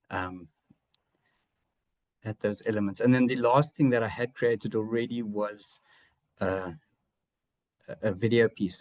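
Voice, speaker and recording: An artist from South Africa with a South African English accent, giving a reflective seminar presentation about his own work, pausing often with fillers and restarts. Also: lowish voice, darkish tone, no echo. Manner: hesitant, frequent fillers and restarts; reflective, personal.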